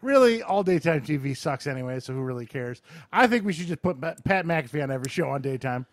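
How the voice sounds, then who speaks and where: Lively, higher-voiced man joking on a podcast. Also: fast talker, dry recording, studio quality. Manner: joking, profane. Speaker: podcast co-host. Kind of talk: casual banter.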